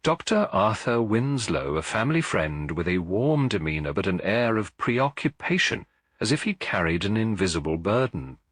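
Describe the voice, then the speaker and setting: Deep, husky man narrating a mystery. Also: sing-song, moderate pace, a bit bright, no room sound. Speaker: audiobook narrator. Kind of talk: scripted dramatic mystery narration.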